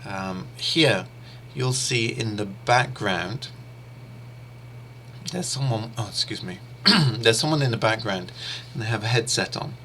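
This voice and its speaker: A man whose first language is English, teaching an interpreting course in a steady, explanatory way with pauses and fillers. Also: lowish voice, slight background noise. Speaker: interpreting instructor. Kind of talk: explanatory online lecture.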